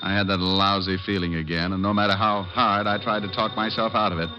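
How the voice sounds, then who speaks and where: An actor playing a sardonic American private detective in old-time radio drama, narrating and talking in a dry, flippant manner. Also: male voice, fairly low pitch, level tone, a little fast, natural voice. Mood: wry narration. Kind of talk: 1940s-50s radio detective narration and acting. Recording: dull old-time radio, music behind.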